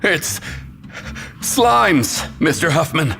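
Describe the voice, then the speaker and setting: An actor playing an alarmed, deferential junior guard in a video game, breathless and stammering. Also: male voice, fairly high pitch, big pitch swings, drawn-out words, vocal fry, sharp tone, faint music behind, exaggerated voice. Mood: panicked. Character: panicked, deferential male townsman or junior guard.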